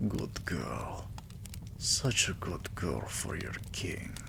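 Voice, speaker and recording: A man playing a regal, possessive, doting vampire king in an intimate ASMR roleplay, with lordly, drawn-out phrasing and murmurs. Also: a bass voice, expressive, creaky, noisy background, full character voice. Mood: soothed, tender.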